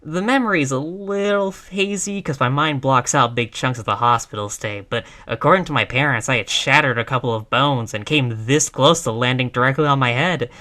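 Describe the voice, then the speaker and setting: A woman's voice: a bass voice, moderate pace, hardly any pauses, a bit bright. A woman playing a tough, reckless girlfriend in a roleplay audio, brash but affectionate, with casual, sweary bravado. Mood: boastful, casual. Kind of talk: intimate roleplay audio acting.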